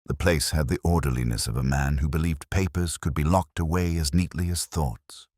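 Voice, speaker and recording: A man's voice: very deep voice, normal speed, a little mellow, no room sound. A man playing a wry, tough detective's assistant who narrates a mystery story in a dry, wisecracking manner. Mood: wry, probing. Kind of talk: first-person detective audiobook narration.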